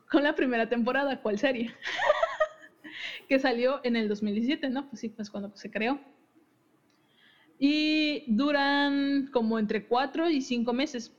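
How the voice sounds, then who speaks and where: A young woman hosting a podcast, casually explaining game lore with frequent giggles and restarts. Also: sits high. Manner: giggly, chatty, self-interrupting. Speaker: podcast host. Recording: dull lo-fi audio.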